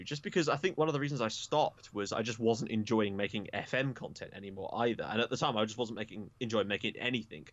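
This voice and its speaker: A young Englishman talks through a casual podcast chat at a middle pitch. He is frank and self-deprecating, and he drops the odd swear word without fuss. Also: fairly bright tone, dry recording, muffled recording. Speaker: podcast guest.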